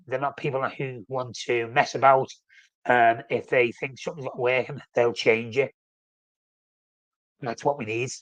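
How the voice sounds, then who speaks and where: A man's voice: mid-range voice, fairly bright tone, no room sound, rough recording. A man with a flu, sounding snuffly, hosting a casual live-stream chat about his football club and rambling with frequent self-corrections. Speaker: fan channel host.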